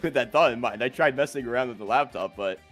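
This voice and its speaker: A man's voice: mid-range voice, fast, fairly bright tone. A man livestreaming a game, reading dialogue aloud and breaking off into casual, joking asides. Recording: music playing behind the voice.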